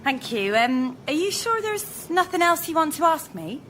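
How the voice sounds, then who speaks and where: High-voiced, polite job candidate. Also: female voice, big pitch swings, normal speed, fairly bright tone, slight background noise. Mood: polite, pointed. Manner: tentative, pointed politeness. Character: polite, knowing female job candidate, discreetly insistent. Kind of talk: radio sketch comedy acting.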